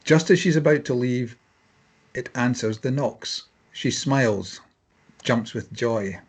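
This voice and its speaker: A man's voice: mid-range voice, slow pace, husky. A man reading scripted stage directions as narrator at a table read.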